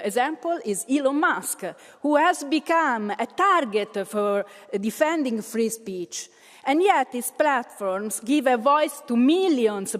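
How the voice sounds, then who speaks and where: A woman interprets a political speech in a higher voice, pausing on "uh" between phrases. Her words ring through a large chamber with a long reverb tail. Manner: hesitant, frequent "uh" fillers. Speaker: simultaneous interpreter in a parliamentary debate.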